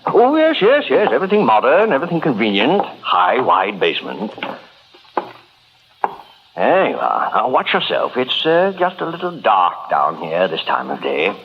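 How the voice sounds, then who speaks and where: A man's voice: mid-range voice, wildly swooping pitch, normal speed, slight background noise. An actor playing a fawning, gloating middle-aged murderer in 1940s radio horror style, theatrical and repeating his words. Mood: fawning, eager. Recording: dull vintage radio drama recording.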